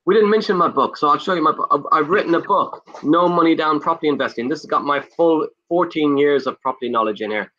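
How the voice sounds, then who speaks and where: Pushy, bright-voiced Irish man pitching. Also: muffled recording, a little hiss or hum. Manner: fast, pushy, lists things off in rapid bursts. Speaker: guest, property investor. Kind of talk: enthusiastic sales pitch in conversation. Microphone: video call.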